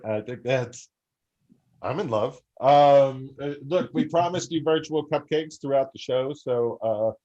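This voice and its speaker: A man co-hosting a comedy show with casual, ad-libbed banter in a rambling, halting way. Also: medium pitch, warm tone, muffled recording.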